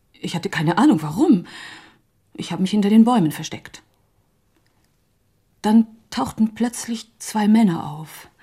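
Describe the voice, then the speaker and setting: An actress playing a grieving, doubting woman in a radio drama, speaking haltingly with a breathy, husky voice. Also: medium pitch. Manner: hesitant, halting, hushed. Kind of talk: naturalistic radio drama acting.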